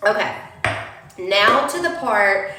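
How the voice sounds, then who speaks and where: A woman's voice: medium pitch, expressive, slow pace, music in the background. Chatty woman with a cold. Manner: chatty, addresses viewers as y'all. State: has a cold, sniffling. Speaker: beauty vlogger. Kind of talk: casual hair-care tutorial. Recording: roomy echoey home recording.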